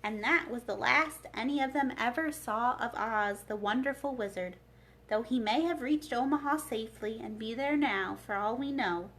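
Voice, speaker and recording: A woman reading a children's storybook aloud as a librarian, in an expressive narrating style. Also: on the high side, normal speed, poor audio.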